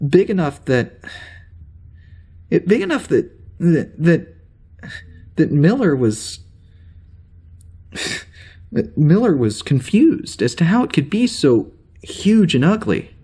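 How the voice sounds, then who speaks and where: An actor playing a troubled starship officer with amnesia, halting and rambling as he records a log in a naturalistic audio drama. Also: a little high, big pitch swings, warm tone, breathy edge, heavily acted. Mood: agitated, bewildered. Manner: halting, rambling, self-interrupting.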